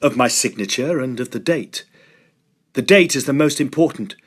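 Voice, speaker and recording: A man playing a determined, agitated young gentleman in a Victorian horror drama, speaking earnestly and emphatically in formal phrases. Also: medium pitch, expressive, normal speed, close to an everyday voice. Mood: grave, resolute.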